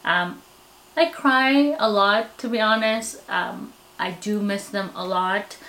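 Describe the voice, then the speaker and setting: A woman with a Filipino accent speaks at an ordinary middle pitch in a candid personal vlog. She sounds reflective and a little self-deprecating. Her voice rings around a noticeably echoey room. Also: slow, fairly bright tone, a little hiss or hum. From Philippines. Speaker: vlogger.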